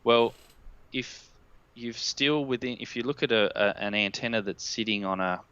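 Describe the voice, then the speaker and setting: A man's voice: medium pitch, normal speed, a bit bright, clear, resonant tone, dull audio. An Australian-accented man in his thirties, a guest expert giving a technical explanation on a live stream. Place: indoor room at home, on a video call. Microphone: headset.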